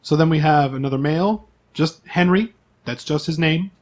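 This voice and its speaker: A man's voice: fairly high pitch, moderate pace, a little mellow. A man acting as game master, reading out character profiles in an informal, list-like way with asides.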